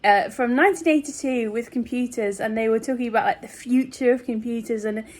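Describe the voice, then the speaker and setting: A woman with a fairly high voice talks casually to her viewers as a vlogger. Her delivery is chatty and meandering, in the style of an informal video diary, with a giggly manner. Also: fairly quick, darkish tone.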